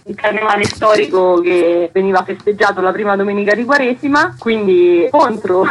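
A woman's voice: mid-range voice, a bit bright, slight background noise, rough recording. A woman explaining as a festival organiser in a radio interview.

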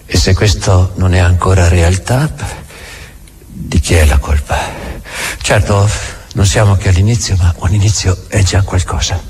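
An actor playing a bitter, domineering old Grand Inquisitor in a theatrical radio drama, halting and repeating his words. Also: deep voice, fairly even intonation, darkish tone, husky, exaggerated voice. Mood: menacing, resolute. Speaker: stage actor.

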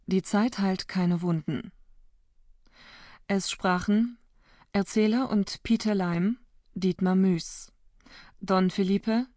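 A woman's voice: lowish voice, dry recording, studio quality. A woman announcer formally reading the credits of a radio play. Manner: formal, even.

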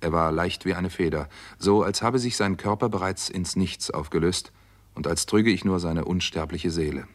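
A sober doctor who narrates his own murder case. His voice is very low and his telling is measured and dramatic, in the manner of a 1960s German radio thriller. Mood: grave, tense. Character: American doctor Steve Mason, sober crime-story narrator. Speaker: first-person narrator and lead actor.

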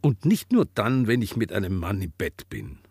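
An actor in a radio drama playing an earnest activist giving an emphatic, declamatory speech. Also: male voice, lowish voice, big pitch swings, moderate pace, vocal fry, background noise. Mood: earnest, resolute. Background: music.

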